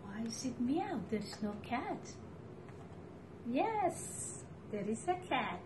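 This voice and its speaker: A middle-aged woman reading a picture book aloud to children, with deliberate, expressive phrasing and pauses. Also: fairly high pitch, noisy background. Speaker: storytime reader for children. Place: living room at home, indoors.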